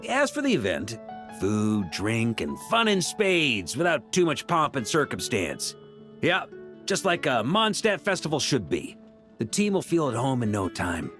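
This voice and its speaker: Laid-back roguish knight leader, hugely expressive swings, casual, teasing, caring, video game, music underneath. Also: male voice, medium pitch, unhurried. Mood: amused, easygoing. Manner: casual, breezy, laughing. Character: easygoing, roguish male knight commander, teasing but caring.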